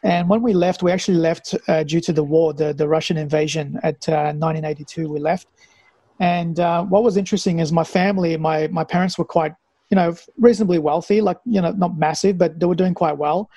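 A man's voice: on the high side, steady tone, a little fast, warm tone, no echo. A man, an interview guest reflectively telling his own story in a conversational way. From Australia, born in Afghanistan. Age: 40s. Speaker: interview guest, health practitioner and mentor.

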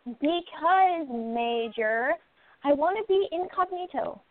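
A woman's voice: high voice, expressive, takes it slowly, no room sound. A woman playing a curious, confident exiled princess turned sleuth in a radio play. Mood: firm. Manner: confident, insistent. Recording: thin phone-like sound, noisy line.

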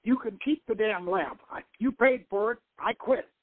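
A man playing a gruff, defiant professor and army major in a dramatic novel reading, blunt and snarling. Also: high voice, normal speed, narrow, tinny audio, voice pushed up. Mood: angry.

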